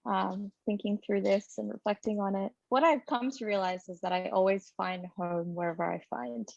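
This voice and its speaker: A woman from the Philippines, speaking as a conference panelist in a thoughtful, steady way with some fillers. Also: mid-range voice, normal speed, creaky, no echo. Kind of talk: reflective personal talk. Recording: dry muffled lo-fi audio.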